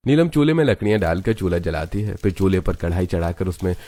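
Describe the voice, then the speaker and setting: A man's voice: sits low, quick pace, mellow. A man narrating a scripted cartoon story.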